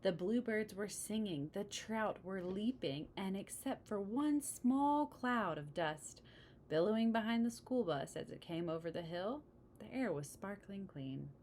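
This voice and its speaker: A woman's voice: mid-range voice, expressive, measured pace, darkish tone, slight background noise. A woman reading a children's picture book aloud, chatting to young listeners as she explains the pictures. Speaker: read-aloud storyteller. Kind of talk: picture-book read-aloud with casual asides.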